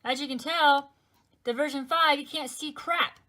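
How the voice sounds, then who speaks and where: Chatty young woman with a high voice. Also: fairly even intonation, normal speed, background noise. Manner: chatty, counting items aloud. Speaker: weather radio collector. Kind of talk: casual show-and-tell vlog.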